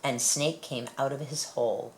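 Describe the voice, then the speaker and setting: A woman with a low-pitched voice reads a picture book aloud for young children. Her delivery is expressive storytelling, in a deep female register. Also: normal speed, low-quality recording. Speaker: storybook reader.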